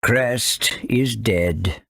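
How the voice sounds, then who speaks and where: Slow, low-voiced anxious owl. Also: male voice, gravelly, bright, forceful tone, husky, no room sound, clean studio sound, heavily acted. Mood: grave, wary. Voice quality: hooting cries. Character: anxious old talking owl, wary guardian of a sick girl. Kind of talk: video game character acting.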